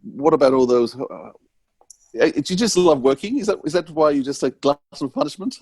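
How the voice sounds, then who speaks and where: A man's voice: fairly high pitch, expressive, fairly quick, a little mellow, slightly rough, no echo. A man with an Australian accent co-hosting a podcast in a casual, conversational interviewing style.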